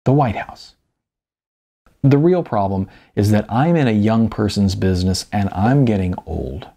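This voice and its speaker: A man reading his own first-person novel aloud as an expressive, wry, conversational narrator. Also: on the low side, normal speed, vocal fry. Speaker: author narrating own audiobook.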